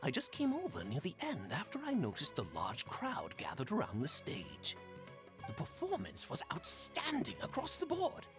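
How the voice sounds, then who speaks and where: An actor playing a fatherly older gentleman in a fantasy game, speaking in a courtly, deliberate, avuncular way. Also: sits high, wildly swooping pitch, music in the background, exaggerated voice. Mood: genial, approving. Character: fatherly old mentor and genteel patron, an older gentleman. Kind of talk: theatrical fantasy video game dialogue.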